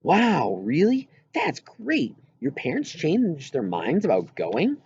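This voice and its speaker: A man reading an audiobook, voicing an earnest boy who eagerly explains things and has a quietly wistful streak. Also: on the high side, huge pitch swings, slow, husky, no room sound, dull audio, voice pushed up, heavily acted. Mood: surprised, curious. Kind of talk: audiobook character dialogue.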